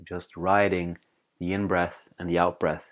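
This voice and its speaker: A man leading a guided meditation as a teacher, calm and unhurried, pausing between short, soothing phrases. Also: low-pitched.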